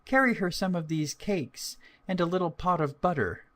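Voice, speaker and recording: A man voicing a fond village mother in a storybook, gently instructing her child in a drawn-out way. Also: high voice, voice pushed up. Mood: caring, concerned.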